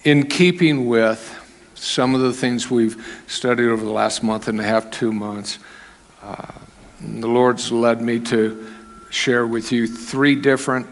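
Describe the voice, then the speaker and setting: A man's voice: mid-range voice, fairly even intonation, slow pace, a little hiss or hum. A man preaching a sermon into a microphone, in a conversational teaching manner with loose asides. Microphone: microphone with volume control.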